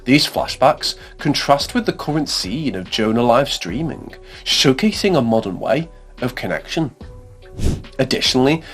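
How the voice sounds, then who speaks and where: A man reading a scripted explainer narration in a measured, formal way. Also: mid-range voice, a bit bright. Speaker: narrator of video essays. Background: background music under voice-over.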